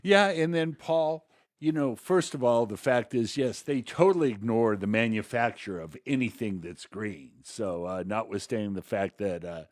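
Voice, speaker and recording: A man's voice: fairly low pitch, normal speed, no room sound, clean studio sound. A man co-hosting a talk show, giving conversational political commentary with a sardonic, argumentative edge. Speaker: commentator, co-host.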